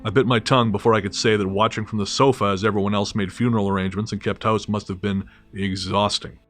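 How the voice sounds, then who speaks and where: A man narrating a scripted first-person horror story in a measured, literary storytelling manner. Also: on the low side, fairly even intonation, a bit bright, soft background music.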